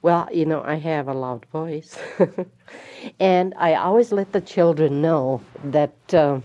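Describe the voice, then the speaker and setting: Woman teacher, very low and mellow voice, halting and reflective, dull lo-fi recording. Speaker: schoolteacher. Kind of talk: recounting memories in an interview.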